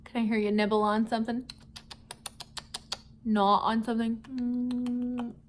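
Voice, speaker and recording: A woman's voice: fairly high pitch, monotone, takes it slowly, a little hiss or hum. A woman teacher playfully leading a vocabulary lesson and storybook read-aloud for schoolchildren, addressing her listeners directly. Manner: playful, addresses listeners with questions, chuckles often.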